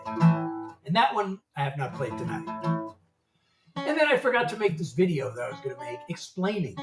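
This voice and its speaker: A man in his seventies, a singer-songwriter rambling in casual livestream chat and laughing often. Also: sits high. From United States. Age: 70s. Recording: roomy, distant mic, guitar music behind.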